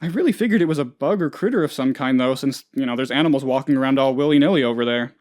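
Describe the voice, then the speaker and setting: An actor playing a naive, anxious, scatterbrained office boss who rambles and gets sidetracked, in a video game. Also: male voice, mid-range voice, fast, darkish tone, natural voice. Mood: rambling, earnest. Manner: rambling, absent-minded, easily sidetracked. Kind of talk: video game character voice lines.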